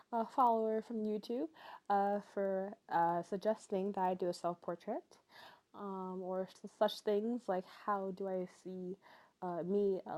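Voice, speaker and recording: A woman's voice: mid-range voice, mellow. A young woman in her twenties, tired, narrating her vlog in a chatty, rambling way with many fillers. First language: English. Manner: chatty, rambling, frequent fillers and little laughs. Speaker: vlogger. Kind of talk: casual voice-over narration.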